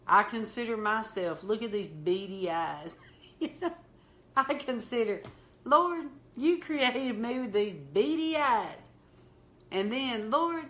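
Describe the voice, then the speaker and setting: Southern woman minister, middle-aged, slow heartfelt testimony delivery. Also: fairly high pitch, a bit bright. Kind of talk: testimony-style storytelling in conversation.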